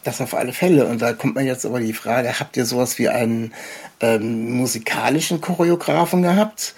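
A man hosting a casual interview, speaking in a hesitant, halting way as he puts his questions. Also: medium pitch, darkish tone, husky. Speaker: podcast host, interviewer.

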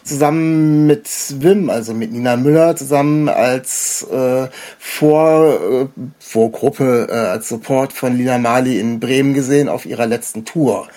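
Halting man hosting a casual podcast. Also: medium pitch, dark tone. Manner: hesitant, halting, meandering phrasing. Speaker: podcast host. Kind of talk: casual interview chat.

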